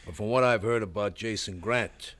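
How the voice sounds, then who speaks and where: A man's voice: lowish voice, moderate pace, a bit bright, close to an everyday voice. Man playing a blunt beat cop. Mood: matter-of-fact. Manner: blunt, matter-of-fact. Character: matter-of-fact male police officer. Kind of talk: 1970s radio drama acting.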